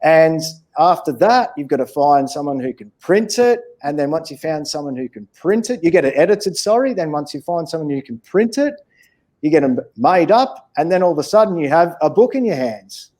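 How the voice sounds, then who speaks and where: An Australian man speaks as a children's author in a relaxed interview. His voice is mellow and sits a little high for a man. He explains things in plain, encouraging words meant for children. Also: normal speed.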